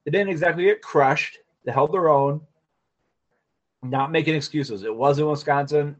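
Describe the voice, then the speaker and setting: A man's voice: a little high, normal speed. A man co-hosting a podcast, chatting through local sports news, scores and schedules. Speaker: podcast co-host. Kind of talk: casual sports news rundown. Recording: dull lo-fi sound.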